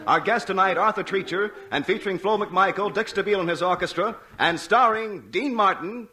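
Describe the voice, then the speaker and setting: Highish-voiced 1940s radio announcer man. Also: brisk. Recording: old radio broadcast with audience noise.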